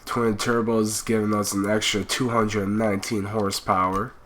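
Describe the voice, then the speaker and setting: A man's voice: lowish voice, flat delivery, normal speed, fairly bright tone, a little hiss or hum. A young man with a cold commenting casually as he plays a racing game, rambling with fillers. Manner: laid-back, rambling with fillers. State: stuffy with a cold. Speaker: gaming channel host.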